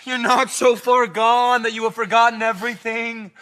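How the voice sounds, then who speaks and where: A man's voice: very high voice, level tone, normal speed, bright, forceful tone. An actor playing a sick young son who confronts his mother, bitter and often raising his voice. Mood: urging. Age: young adult. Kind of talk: stage drama acting.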